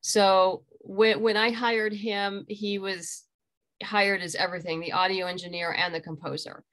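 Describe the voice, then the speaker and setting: A middle-aged woman giving casual, explanatory interview answers on a video call, haltingly thinking aloud. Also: medium pitch, flat delivery, fairly bright tone. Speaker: interview guest, audio drama creator. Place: small room at home.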